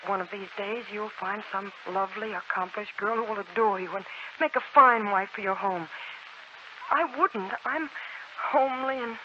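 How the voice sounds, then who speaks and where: A woman's voice: mid-range voice, normal speed, close to an everyday voice. Actress as an earnest young woman, muffled old radio. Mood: anguished, apologetic. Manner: emotional, earnest. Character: earnest, headstrong young woman, anguished and tender. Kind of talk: 1940s American radio drama acting. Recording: muffled, noisy 1940s radio recording.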